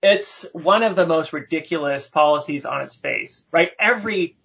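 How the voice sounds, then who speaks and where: A man's voice: a little high, moderate pace, vocal fry, bright, forceful tone, breathy edge, dull audio. A man on a panel discussion, arguing his point emphatically and checking for agreement as he goes. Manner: emphatic, argumentative, seeks agreement with "right?". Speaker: panel guest.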